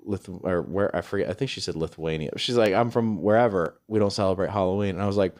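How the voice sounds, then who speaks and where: A man's voice: low-pitched, fast talker, a little mellow. A man hosting a casual comedy podcast, talking in a hesitant, rambling and self-deprecating way. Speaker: podcast host and stand-up comedian.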